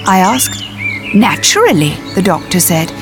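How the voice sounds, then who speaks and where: An actress playing a prim, brisk navy servicewoman, narrating an audio drama in the first person. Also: mid-range voice, sing-song. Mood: calm, wry. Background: clear music and birdsong.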